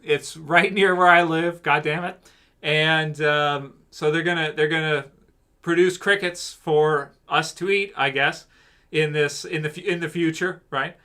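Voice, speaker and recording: A man's voice: a little high, steady tone, a little slow, sharp tone. A man hosting a livestream, chatting casually and rambling with jokes while answering his chat. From Canada. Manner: rambling, joking, talks back to chat. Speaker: livestream host.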